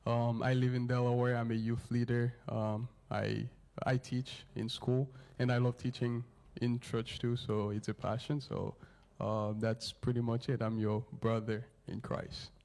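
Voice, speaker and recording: A man's voice: medium pitch, little pitch movement, measured pace, gravelly, darkish tone. A man from Haiti, a youth leader, casually hosting a church Q&A panel with lots of fillers and laughs.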